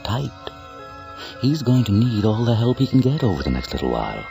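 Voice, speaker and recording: A man acting in a radio drama as an ominous storyteller, musing and deliberate as he addresses a child. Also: fairly low pitch, huge pitch swings, vocal fry, music in the background, exaggerated voice.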